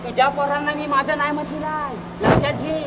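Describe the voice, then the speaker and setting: A cranky village blusterer acted by a stage performer scolds in a high voice. The manner is combative and full of exclamations, in rustic comic theatre. Clear noise runs through the live stage recording. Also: level tone, a little fast, close to an everyday voice. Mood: threatening. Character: irritable, blustering village man, quick to threaten. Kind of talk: rustic school stage comedy acting. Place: live stage at a school event.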